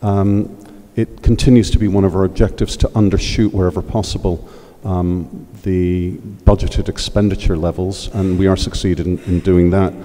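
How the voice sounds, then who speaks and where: Treasurer type, deep dark male voice with a flat delivery, halting with fillers, in a hall with long reverb. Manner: hesitant, many fillers, measured. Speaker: treasurer answering questions. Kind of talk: formal financial report at a meeting.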